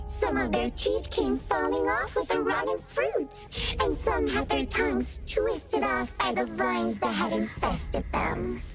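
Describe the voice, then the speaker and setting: An eerie female flower spirit from a video game. The voice is high and slow, swooping wildly through theatrical singsong repetitions, with loud music behind it. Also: full character voice. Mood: ominous. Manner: theatrical, repeating words in singsong.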